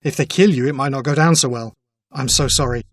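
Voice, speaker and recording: Audio drama resistance leader, played by a man: grave, principled, humane, medium-pitched, earnest delivery. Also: brisk, no room sound, clean studio sound, close to an everyday voice. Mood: regretful, firm. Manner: earnest, serious.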